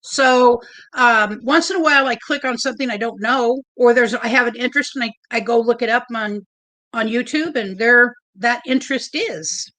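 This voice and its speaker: A woman hosting a casual livestream, chatty and a little nervous, with a loud, big voice. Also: fairly high pitch, moderate pace. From Oregon. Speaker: livestream host.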